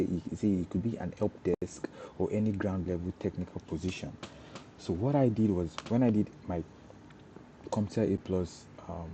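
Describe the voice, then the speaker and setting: Young Nigerian man, Yoruba native, very low dark voice, restarts a lot, faint noise behind. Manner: repeats words and restarts often, explanatory. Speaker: cybersecurity trainer. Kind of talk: informal career talk.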